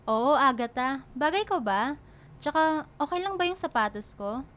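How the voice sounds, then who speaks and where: High-voiced, caring big sister. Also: female voice, lively intonation, normal speed, fairly bright tone. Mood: affectionate, busy. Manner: affectionate, teasing, motherly. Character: caring, bossy twenty-two-year-old big sister and sweet girlfriend. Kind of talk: radio drama acting.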